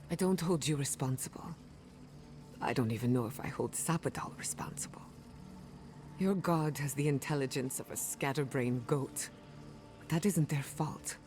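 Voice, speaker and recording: A woman playing a wounded, sharp-tongued animancer in a fantasy game, emotional and sardonic. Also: rumbling low. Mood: weary, sardonic. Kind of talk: fantasy video game acting.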